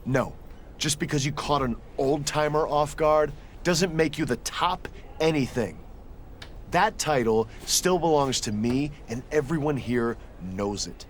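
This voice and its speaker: Actor as higher-voiced indignant rival wrestler. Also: male voice, a little slow, slight background noise, natural voice. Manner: confrontational. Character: jealous, indignant young male rival wrestling prospect. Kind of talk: video game cutscene acting.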